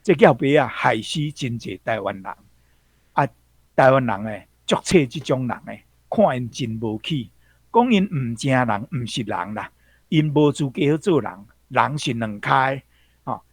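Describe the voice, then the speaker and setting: A man's voice: mid-range voice, expressive, unhurried, husky, no room sound. A man with a Taiwanese accent giving an explanatory talk as a guest commentator, going step by step. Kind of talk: explanatory talk on words and history.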